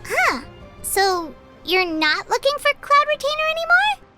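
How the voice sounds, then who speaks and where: A woman's voice: very high-pitched, measured pace, exaggerated voice. A woman voicing a small, childlike, cheeky and talkative fairy companion in a video game. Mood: curious. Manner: refers to self in third person, excitable, teasing. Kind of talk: English video game dub acting. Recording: voice over loud background music.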